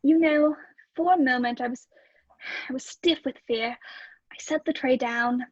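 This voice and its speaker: Anxious radio-mystery landlady woman performer: high pitch, fretful hesitant narration, dull lo-fi sound. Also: moderate pace, no echo. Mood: anxious, hushed suspense. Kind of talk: old radio play acting. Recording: dull, lo-fi close recording.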